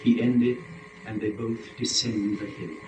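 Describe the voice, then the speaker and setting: A man narrating epic verse as a dramatic reading, with a solemn, measured, declamatory delivery. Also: lowish voice, steady tone, a little mellow. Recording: echoey room, faint noise.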